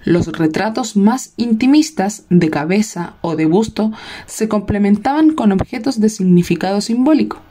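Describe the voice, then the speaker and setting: A woman reading a scripted documentary narration in a formal, measured manner. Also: medium pitch, expressive, dark tone, slight background noise.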